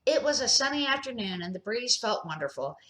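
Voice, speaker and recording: A woman's voice: a little high, big pitch swings, a little fast, fairly bright tone, poor audio. An older woman, a grandmother, narrating a children's storybook aloud in a plain, amateur reading style.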